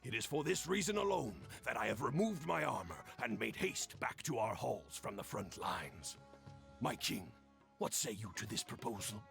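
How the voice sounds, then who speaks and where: A man's voice: fairly low pitch, expressive, moderate pace, creaky, fairly bright tone, faint music behind, heavily acted. An actor playing a loyal, solemn army commander in a game's stage play, declaiming formally and deferentially to his king. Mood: earnest, proud. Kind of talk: theatrical stage-play declamation in a video game.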